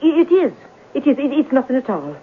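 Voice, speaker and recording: A woman's voice: high-pitched, very animated, a little fast. An actress playing an uneasy, evasive working-class landlady who stammers and talks in a folksy, ungrammatical way, in a 1970s radio drama. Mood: hesitant, uneasy. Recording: muffled narrow-band old radio broadcast.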